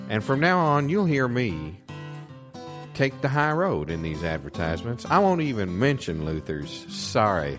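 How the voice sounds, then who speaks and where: A man playing a folksy, indignant used car dealer delivering a pompous, patriotic local advertisement. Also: sits low. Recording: guitar music behind. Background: plucked guitar music.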